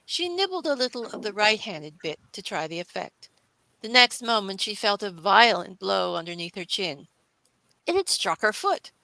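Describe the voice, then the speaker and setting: A woman's voice: medium pitch, big pitch swings, moderate pace, gravelly, sharp tone, low-quality recording. A woman reading scripted storybook narration as the narrator of a classic tale.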